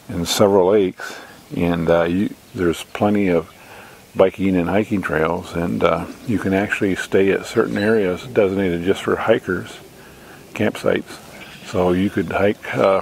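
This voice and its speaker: A middle-aged man with a low, creaky voice narrates a casual tour outdoors. He talks matter-of-factly and pauses as he searches for words. A handheld camera mic picks up some faint ambient noise around him. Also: darkish tone. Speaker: campground reviewer and vlogger. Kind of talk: casual unscripted walk-through narration. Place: outdoors at a lakeside campground. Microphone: handheld camera mic, operator off screen.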